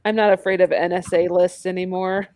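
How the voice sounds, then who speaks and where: A woman's voice: on the low side, level tone, brisk, a little mellow. A woman co-hosting a casual podcast, bantering bluntly and irreverently. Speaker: podcast co-host.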